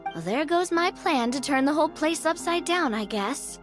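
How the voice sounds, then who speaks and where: A woman's voice: high-pitched, expressive, moderate pace. A woman voicing a plucky, confident young female adventurer in a game, breezy and playful. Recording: faint music behind the voice.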